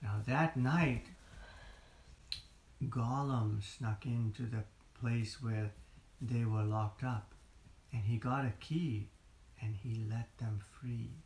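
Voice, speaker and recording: A woman's voice: steady tone, slow, pauses often, darkish tone. A woman improvising a bedtime story for children in simple, repetitive phrases. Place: indoors in a room. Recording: echoey room, faint noise, low volume.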